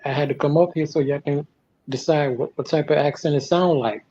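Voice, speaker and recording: Man from Florida, teasing, relaxed, husky, dull lo-fi audio. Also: fairly high pitch, fairly quick. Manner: easygoing, teasing, repeats himself. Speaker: livestream guest. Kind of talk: casual livestream chat. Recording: dull lo-fi sound.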